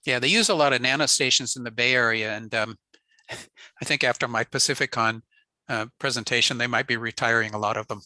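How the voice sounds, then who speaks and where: A man presenting ham radio networking on a video call, relaxed and answering questions with frequent fillers. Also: mid-range voice, fairly quick, no echo. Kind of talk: casual technical talk on a video call.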